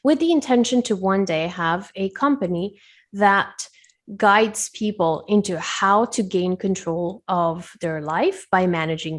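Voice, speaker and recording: A woman whose English carries a blend of Spanish and French accents. She speaks as a guest in a conversational interview at a medium pitch. Her manner is reflective and explanatory, and she pauses to find her words. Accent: foreign, Spanish and French influenced. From Colombia and Switzerland. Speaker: guest, wellness coach.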